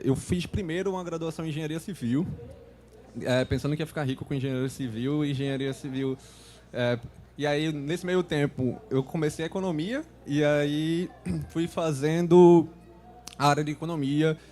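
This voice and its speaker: A man, hoarse from a lingering cold, speaking as a panelist on a conference stage and often clearing his throat. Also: fairly high pitch, moderate pace, warm tone. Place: conference stage before an audience.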